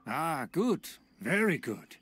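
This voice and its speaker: A man's voice: fairly high pitch, big pitch swings, slow, a bit bright, exaggerated voice. A man playing a haughty aristocrat of a fallen noble clan in a video game, speaking in pompous, formal, courtly phrases. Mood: approving, pompous. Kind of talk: video game character acting.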